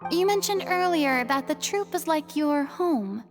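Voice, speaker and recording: Refined young lady star in a game, high voice, polite, music behind. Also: animated, moderate pace. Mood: curious. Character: poised, gracious young female celebrity performer. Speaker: voice actor in a video game. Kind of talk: scripted game dialogue.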